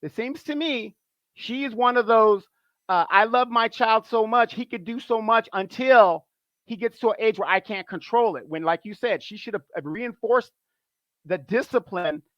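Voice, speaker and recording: Animated man on a dull, dry line. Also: high-pitched, no room sound. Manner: animated, repetitive, emphatic. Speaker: guest. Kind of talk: casual opinion talk.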